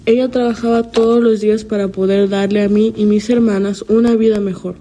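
A woman's voice: mid-range voice, flat delivery, fairly quick, dark tone. A teenage girl from Chicago, a student, earnestly reading her own personal statement. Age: teens. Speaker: student protester.

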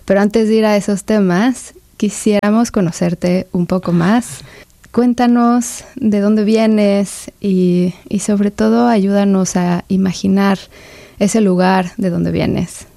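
A woman hosting an interview, speaking in a measured way with many hesitations and restarts. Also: mid-range voice, fairly even intonation, vocal fry, warm tone, no echo. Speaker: interview host. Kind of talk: conversational interview.

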